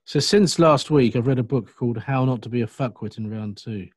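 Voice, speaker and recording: A man's voice: medium pitch, fairly quick, husky, dry recording, studio quality. A man chatting casually as a contestant in an online quiz call. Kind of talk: casual chat. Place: online video group call.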